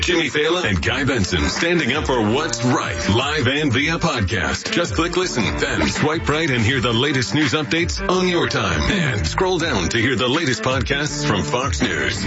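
Male promo announcer, polished sell, loud music bed. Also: medium pitch, highs cut off. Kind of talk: radio promo read.

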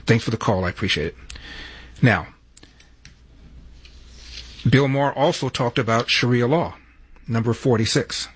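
A man hosting a radio talk show, taking calls and arguing his points in a measured way. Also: medium pitch, breathy edge. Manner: measured, argumentative, repeats himself when interrupted.

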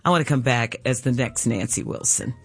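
A woman's voice: a little fast, husky. A woman, telling stories and urging listeners to give during a radio fundraiser. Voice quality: low contralto voice. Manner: warm storytelling, laughs often, urges listeners to give. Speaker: guest co-host of a radio fundraiser. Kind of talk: casual radio chat.

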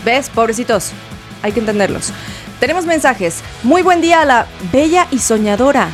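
A Mexico City woman on a morning sports radio show, her voice a touch high. She teases her co-host and reads news items in an easy, playful way. Faint music sits under her. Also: expressive. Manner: playful, teasing, quick to laugh.

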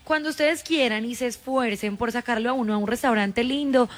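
A woman's voice: on the high side, brisk. A woman, a radio co-host joking in playful, teasing banter. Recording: music bed behind.

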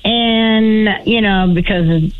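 A woman with a Boston accent calling in to a radio show and telling a story in a deadpan way. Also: medium pitch, takes it slowly, narrow, tinny audio. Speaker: caller.

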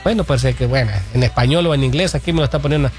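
Agreeable sports co-host, music behind. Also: male voice, mid-range voice, rapid-fire. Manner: agreeable, frequent back-channel "ujú". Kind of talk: casual sports chat reading viewer messages.

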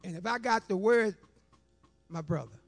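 A man in his seventies giving a fervent preaching testimony, repeating phrases rhythmically and breaking into shouts and half-sung lines. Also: high-pitched, moderate pace, faint music behind. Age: 70s.

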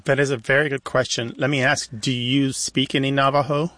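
A man's voice: mid-range voice, little pitch movement, a little fast, fairly bright tone, dry recording, studio quality. A man hosting a call-in radio show, explaining things in a conversational way.

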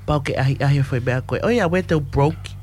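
A woman in casual chat, talking in a lively way and often checking in with tag questions. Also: extremely low pitch, fast talker, slight background noise. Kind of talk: casual chat mixing English and a Pacific language.

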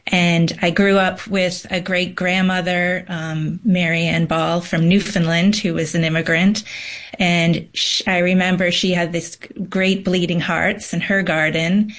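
A middle-aged woman from Massachusetts, a panel guest, who answers thoughtfully and pauses with ums as she reflects. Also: fairly low pitch, little pitch movement. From Massachusetts, USA. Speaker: panel guest, garden club board member.

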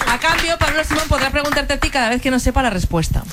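A man's voice: very high-pitched, fast talker, bright, forceful tone, soft background music. A man on a radio comedy panel, teasing and theatrical in his banter. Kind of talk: comic banter.

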